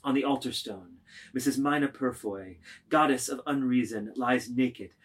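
A man's voice: medium pitch, moderate pace, slightly rough. A man narrating a literary script, reading stage directions in a declamatory way.

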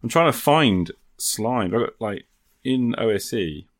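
A man's voice: on the low side, animated, a little slow, no room sound, studio quality. A man thinking aloud good-humouredly as he plays an improvised tabletop role-playing game in casual conversation.

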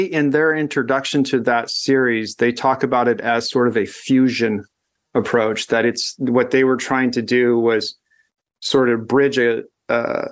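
A man speaks in a relaxed, conversational way, working out his ideas aloud. His sentences often stall and restart while he searches for the right word. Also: medium pitch. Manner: thoughtful, halting with frequent restarts. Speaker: guest educator. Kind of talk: casual conversation.

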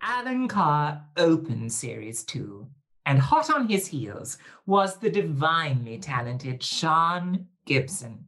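A man's voice: a little high, very animated, slow pace, a bit bright, soft background music. A man hosting a podcast interview, talking in a flowery, theatrical way, calling guests darling and laughing readily. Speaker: podcast host.

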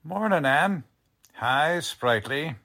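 A man's voice: fairly high pitch, animated, slow, noisy background. A man playing a cocky, folksy police lieutenant with slangy, ungrammatical talk in 1940s radio drama style. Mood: jovial, blustering. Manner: slangy, ungrammatical tough-guy speech.

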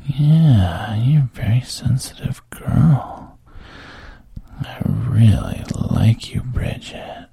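Coaxing hushed man, breathy and gravelly, low dry whisper, as a narrator puts him on. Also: huge pitch swings, drawn-out words, no room sound, full character voice. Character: soft-spoken coaxing adult man.

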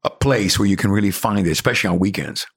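A man in his sixties from Cuba with a Cuban accent, an actor telling stories in a casual chat, animated and laughing often. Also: lowish voice, quick pace, creaky, husky, no echo, clean studio sound. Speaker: actor guest.

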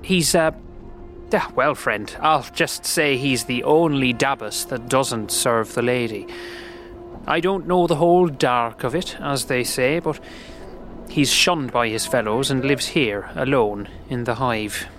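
A man voicing a friendly, gentle, earnest slum dweller in a fantasy audio drama, with a folksy, hesitant way of talking. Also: mid-range voice, measured pace, faint music behind, close to an everyday voice. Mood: helpful.

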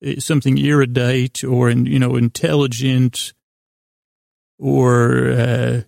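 Very creaky man rambling through a bedtime podcast. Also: medium pitch, little pitch movement, a little mellow, no echo, studio quality. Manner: meandering, halting, digressive, self-deprecating; acts out imagined back-and-forth dialogue in his own voice. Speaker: podcast host.

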